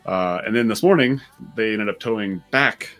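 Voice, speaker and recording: A man's voice: fairly low pitch, moderate pace, fairly bright tone. A man chatting casually as a vlog host, telling a story in an informal way and repeating words as he works out his point.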